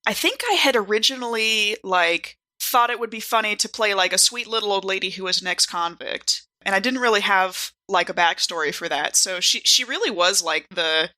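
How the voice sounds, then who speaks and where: A woman's voice: medium pitch, normal speed, few pauses, pushed and bright. A woman chatting casually as a podcast cast member, looking back on a character she played. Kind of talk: casual retrospective chat.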